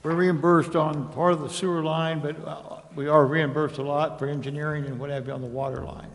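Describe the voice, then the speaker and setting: A man's voice: a little high, level tone, a little fast, slight background noise. An American man giving an informal explanatory report as an official in an echoing meeting chamber. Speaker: project official reporting to council. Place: indoor council chamber.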